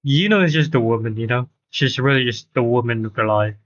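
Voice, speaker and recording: A man doing casual live game commentary, halting and repeating himself for emphasis. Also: mid-range voice, a bit bright. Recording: dull, lo-fi stream audio.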